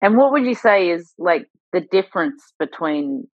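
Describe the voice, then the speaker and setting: A woman hosting a podcast interview, with a husky voice. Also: fairly low pitch, animated, normal speed, dry recording, lo-fi sound. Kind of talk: scripted introduction and conversational interviewing.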